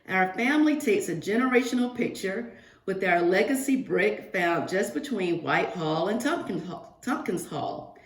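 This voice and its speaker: Woman, mid-pitched voice, children's read-aloud, expressive, direct address to young listeners, roomy echoing sound. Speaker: guest picture-book reader for a school class. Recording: roomy, echoing virtual-reading audio.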